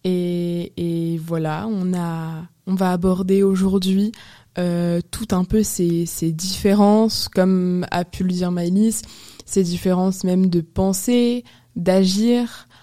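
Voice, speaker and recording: Mellow young woman hosting a casual podcast. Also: fairly low pitch, fairly even intonation, slow pace. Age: young adult. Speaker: podcast host. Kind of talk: casual conversational podcast interview.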